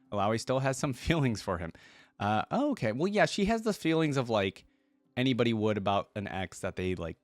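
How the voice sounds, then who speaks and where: A man hosting a reaction video, reading aloud and commenting casually, musing as he thinks out loud in a conversational way. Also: lowish voice, dry recording.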